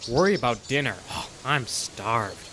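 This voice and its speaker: Actor as breezy, callous repairman, animated delivery, radio play, clear noise behind him. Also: mid-range voice, measured pace, natural voice. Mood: cocky. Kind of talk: radio drama acting. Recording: clearly noisy background.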